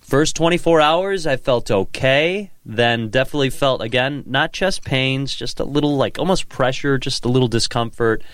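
A man on a sports podcast, stuffed up and nasal from a cold. He rambles loosely and repeats words as he works out his point. His voice sits at an ordinary pitch, recorded close and dry. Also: moderate pace, hardly any pauses, fairly bright tone, no echo. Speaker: podcast co-host. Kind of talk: casual sports chat.

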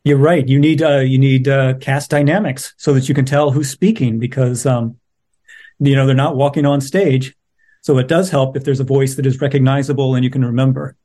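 A middle-aged man talking as an interviewed playwright, low-key and casual, a little halting. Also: fairly even intonation, warm tone. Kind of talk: casual chat.

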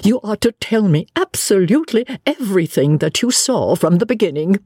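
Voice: medium pitch, animated, normal speed, darkish tone, slightly rough, no room sound, studio quality, pitched above the performer's own voice. A reader voicing a middle-aged lady novelist, effusive, pompous and emphatic, in an audiobook story. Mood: gushing.

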